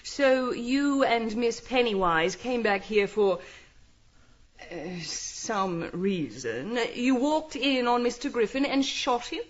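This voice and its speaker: A woman's voice: fairly high pitch, slow, lo-fi sound. An actress playing a cheeky, self-assured young woman detective in a radio mystery, speaking in an arch, blunt, teasing way. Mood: probing, dry. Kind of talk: radio mystery drama acting.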